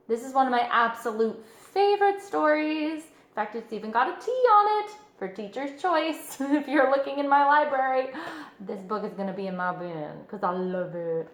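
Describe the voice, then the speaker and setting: A woman in her thirties reading a children's story aloud, expressive, with dramatic pauses. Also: on the high side. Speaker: storybook reader. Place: living room sofa for the introduction.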